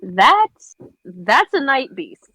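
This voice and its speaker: High, husky, creaky-voiced woman. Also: big pitch swings, extremely slow, pushed and bright, dry recording, low-quality recording. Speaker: table read cast member. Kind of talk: amateur table read.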